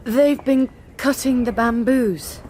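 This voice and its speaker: An actress playing an unstable young nun in a radio drama, intense and feverish, swinging from pleading to paranoid whispers. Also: female voice, on the high side, slow, a little mellow, a little hiss or hum. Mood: weary.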